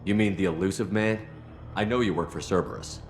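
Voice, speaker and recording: An actor playing a no-nonsense, decisive space commander in a video game, speaking bluntly. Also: male voice, deep voice, brisk, long reverb tail, faint music behind, close to an everyday voice. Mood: suspicious.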